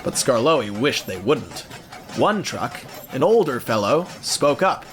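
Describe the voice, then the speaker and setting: Casting: male narrator for an audio drama, expressive, very varied pitch, slight background noise. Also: unhurried. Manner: expressive storytelling. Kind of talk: scripted storybook narration.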